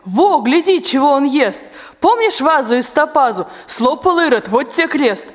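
A female performer playing a sharp-tongued, suspicious old nanny in a rhymed radio play, scolding in peasant speech. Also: high voice, very animated, a little slow. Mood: indignant, wary. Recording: long hall-like reverb.

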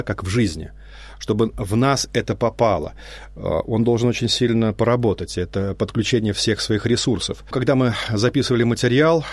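A man's voice: medium pitch, a little mellow, no echo. A man directing a radio theatre, giving a reflective spoken introduction to a radio play, explaining at length with hesitant fillers.

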